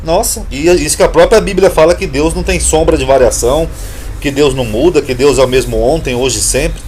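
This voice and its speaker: A man's voice: on the high side, darkish tone, slight background noise. Argumentative man explaining Bible verses. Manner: argumentative, questioning, reads verses aloud slowly. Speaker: Bible teacher on his own channel. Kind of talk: casual Bible study talk to camera.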